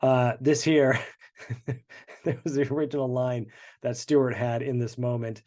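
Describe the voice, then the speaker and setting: A man's voice: mid-range voice, flat delivery, measured pace, a little mellow. A congested man, the show's creator, chatting through behind-the-scenes commentary with many fillers. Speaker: show creator.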